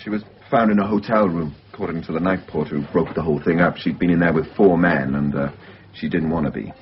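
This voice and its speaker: A measured male hospital clinician played by an actor in a radio drama. His voice sits very low, and he explains things in an understated, matter-of-fact way. The sound is somewhat muffled and lo-fi. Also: a little hiss or hum, natural voice. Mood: grave, matter-of-fact. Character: measured male hospital mental-health worker briefing a colleague. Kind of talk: naturalistic radio drama acting. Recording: dull lo-fi radio recording.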